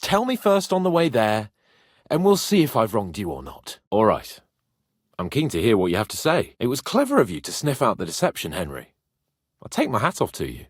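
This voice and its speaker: A young medieval lord for a video game. He is imperious and quick-tempered, yet playful and teasing. His pitch swings widely in a very dramatic delivery, and the recording is dry and close. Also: wildly swooping pitch, husky, no echo, exaggerated voice. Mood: calm, wry. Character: haughty young medieval nobleman, playful, hot-tempered, fond of companion. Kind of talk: video game character acting.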